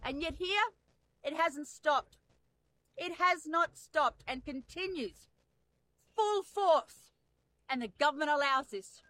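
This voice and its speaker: A woman's voice: sits high, lively intonation, slow pace, pauses often, a bit bright, rough recording. A woman making an urgent, indignant public statement, rallying people to her cause. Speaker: activist.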